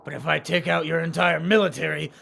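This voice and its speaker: Man as gravelly, determined young general. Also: on the high side, steady tone, brisk, fairly bright tone, slight background noise. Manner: dramatic, stubborn, commanding. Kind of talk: amateur animated action voice acting.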